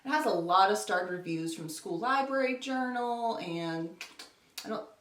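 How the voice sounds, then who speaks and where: Woman, lively pitch swings, chatty book vlogger, roomy distant recording. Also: a little slow. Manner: chatty, informal, self-deprecating. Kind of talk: casual chat to camera. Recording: roomy echo, distant microphone.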